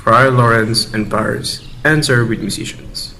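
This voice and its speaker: A man's voice: medium pitch, steady tone, a little slow, bright, forceful tone, a little hiss or hum, poor audio. A man reading scripted stage directions as narrator of an amateur radio drama.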